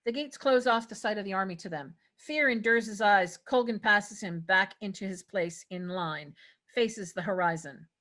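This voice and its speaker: Woman, narrator, stage directions, table read, middle pitch. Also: normal speed, pushed and bright.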